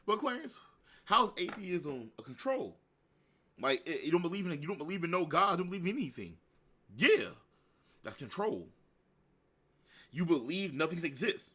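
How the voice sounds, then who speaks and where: A man on a casual rant, blunt and full of slang and curses. His voice sits a little high and sounds bright and forward. He talks loose and animated, repeating himself for emphasis. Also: normal speed, long pauses, husky.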